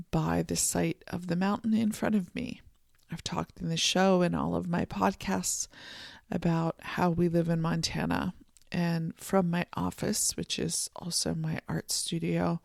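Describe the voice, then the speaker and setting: A podcast host giving a soft, contemplative solo monologue from a home office, voice a little froggy this morning. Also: medium pitch, gravelly, dry recording, clean studio sound. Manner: soft, slow and contemplative, with gentle restarts. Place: home office and art studio.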